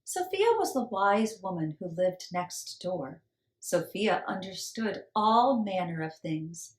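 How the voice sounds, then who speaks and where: A woman reading a children's story aloud in an expressive, theatrical and deliberate way. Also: lowish voice. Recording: roomy echo with background noise.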